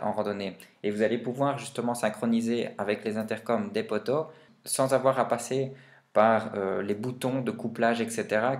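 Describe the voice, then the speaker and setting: A man talking informally and with plenty of slang as he explains gear in a casual vlog review. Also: fairly low pitch. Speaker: vlogger reviewing motorbike and quad gear.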